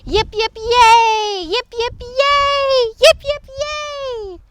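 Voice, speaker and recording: A woman voicing an excitable crowd of little storybook prairie dogs with shouted exclamations. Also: extremely high pitch, unhurried, dry recording, pitched above the performer's own voice, heavily acted. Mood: cheering.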